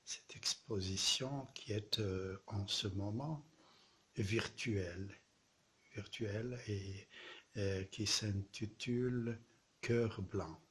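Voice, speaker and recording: A man from southern France, an artist presenting his exhibition in a slow, halting way, with pauses and repeated words. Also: on the low side, fairly bright tone, a little hiss or hum. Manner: repeats poetic refrains. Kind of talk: casual spoken address to camera.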